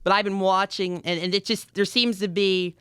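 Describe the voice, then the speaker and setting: Upbeat young man, very clear. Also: high voice, fairly even intonation, normal speed, fairly bright tone, no room sound, studio quality. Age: young adult. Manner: upbeat, outgoing, talks with many restarts. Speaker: podcast guest. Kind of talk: casual interview chat. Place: university media studio.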